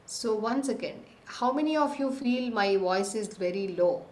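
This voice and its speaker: A woman's voice: medium pitch, animated, measured pace, a little mellow, echoey room. A woman hosting a live online parenting workshop on a video call, reading participants' answers from the chat and checking in with the class. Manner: reads lists of answers aloud, checks in with the class often. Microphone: computer mic on a video call.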